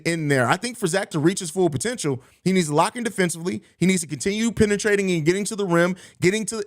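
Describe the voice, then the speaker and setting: Fan-talk host with a slightly high voice. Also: male voice. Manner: conversational, often ending phrases with "man"; excitable sports fan talk. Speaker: podcast host. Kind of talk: casual live-stream reaction, reading viewer chat.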